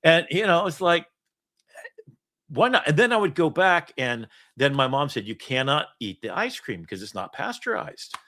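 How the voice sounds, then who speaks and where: A middle-aged man telling long, rambling stories in an easy chat, laughing between lines. His pitch sits a touch high and swings widely as he talks. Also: moderate pace. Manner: storytelling, animated, laughs often. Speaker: podcast host.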